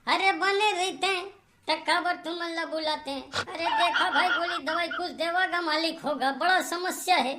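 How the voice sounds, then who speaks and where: A woman voicing a whining, sick village husband in a comedy cartoon, groaning and complaining in drawn-out phrases with a Chhattisgarhi accent. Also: very high-pitched, noisy background.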